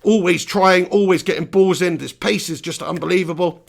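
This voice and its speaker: A man hosting a live post-match reaction, hoarse from losing his voice, ranting in an animated, exasperated way. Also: sits high, normal speed. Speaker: football fan channel host.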